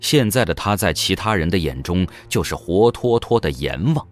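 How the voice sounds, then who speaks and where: Deep-voiced male story narrator, very animated. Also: normal speed, soft background music. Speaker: audiobook narrator. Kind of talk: scripted dramatic narration of a fantasy story.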